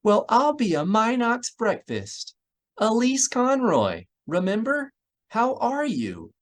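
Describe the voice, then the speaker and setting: Bubbly, gushing woman played by a male narrator, very high and swooping, for a sci-fi audiobook. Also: slow pace, exaggerated voice. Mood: excited, delighted. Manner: gushing and excited. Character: effusive, bubbly, excitable woman greeting people.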